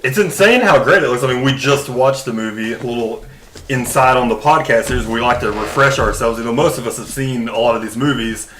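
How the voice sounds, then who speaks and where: Bright-voiced man chatting casually. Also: medium pitch, slight background noise, poor audio. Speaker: podcast panelist. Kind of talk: casual joking chat.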